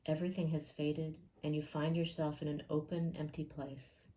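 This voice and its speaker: A woman leading a guided meditation in a calm, gentle and unhurried way, leaving pauses between phrases. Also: low voice, rough recording.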